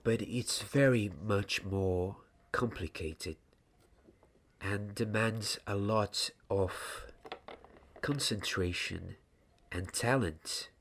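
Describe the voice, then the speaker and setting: A young man playing a gentle, mysterious wizard wand maker in a soft, half-whispered ASMR roleplay, speaking deliberately in short phrases. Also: fairly low pitch. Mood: instructive, reverent. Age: 20s. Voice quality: hushed, breathy, half-whispered. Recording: audible background hiss, small clicks.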